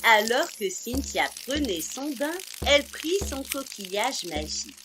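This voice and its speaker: A woman narrating a scripted story for young children, expressive and carefully phrased. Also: fairly high pitch, measured pace, background noise. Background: music.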